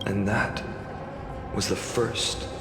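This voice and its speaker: A mysterious swordsman talks slowly in a fairly low voice, solemn and courteous. It sounds like a fantasy game hero, grave and deliberate, ringing with long reverb. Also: level tone. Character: solemn, mysterious young male wandering swordsman. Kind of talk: video game voice acting and narration. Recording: echoey, long reverb, music behind.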